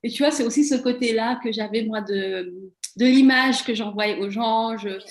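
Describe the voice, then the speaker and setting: A woman's voice: a little high, normal speed. A woman interviewing in a casual, conversational way, affirming and empathetic, with emphatic repetition. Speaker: host, coach interviewing a former client.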